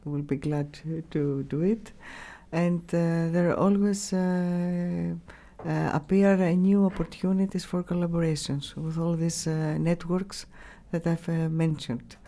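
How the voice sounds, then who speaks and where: A middle-aged woman with a Greek accent speaks in a fairly low, soft-edged voice. She gives unscripted interview answers haltingly, often pausing to find the word. The tone is dark and relaxed, close on a studio mic. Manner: halting, searching for words. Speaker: radio station staff member, interviewee. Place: small radio studio. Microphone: broadcast mic on boom arm.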